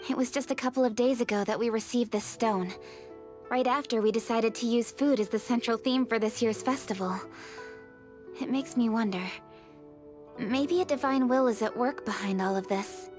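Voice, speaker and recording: A woman's voice: fairly high pitch, normal speed, a little mellow, soft background music, close to an everyday voice. An actress playing an earnest, composed young noblewoman official in a video game. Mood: earnest, thoughtful. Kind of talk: scripted video game voice acting.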